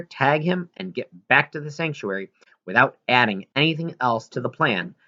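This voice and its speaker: A man's voice: mid-range voice, sharp tone, lo-fi sound. A man reading aloud as a considerate female researcher, friendly and explanatory, in an audiobook. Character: considerate female whale researcher writing an apologetic letter.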